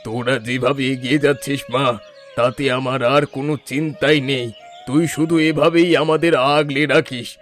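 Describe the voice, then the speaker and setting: A man's voice: mid-range voice, fairly even intonation, normal speed, fairly bright tone, close to an everyday voice. Man as old family patriarch, grateful, heartfelt, earnest, cartoon drama, faint music behind. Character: grateful, emotional old village father-in-law. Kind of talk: cartoon voice acting.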